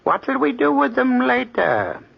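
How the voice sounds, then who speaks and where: An actor playing a greedy, furtive murderer's accomplice, creaky and husky, with a slow swooping delivery in an old radio mystery. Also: male voice, very high voice, wildly swooping pitch, heavily acted. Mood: furtive greed. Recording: dull old radio recording.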